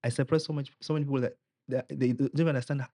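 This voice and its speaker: Young man, Kenyan accent, rallying, earnest, mid-pitched, dry. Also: steady tone, rapid-fire, warm tone, dry recording. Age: young adult. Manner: rallying, earnest, mixes Swahili phrases. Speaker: political aspirant guest. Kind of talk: political interview.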